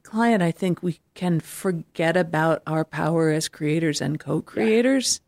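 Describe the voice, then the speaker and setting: A woman's voice: low voice, a little slow, a little mellow, dry recording, clean studio sound. A woman hosting a radio interview show, speaking in a conversational style.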